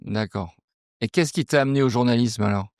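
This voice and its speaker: A man's voice: mid-range voice, fairly quick, creaky, a little mellow, dry recording, studio quality. A man hosting a podcast interview in a conversational style, putting questions and short prompts to a guest.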